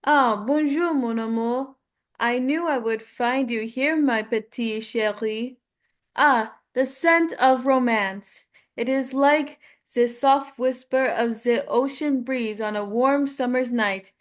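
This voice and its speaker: A suave French cartoon skunk and self-adoring ladies' man, played by a woman courts in slow, flowery lines. The voice sits a little high, and the manner is romantic and theatrical. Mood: romantic, wooing. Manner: flowery, wooing, theatrical phrasing.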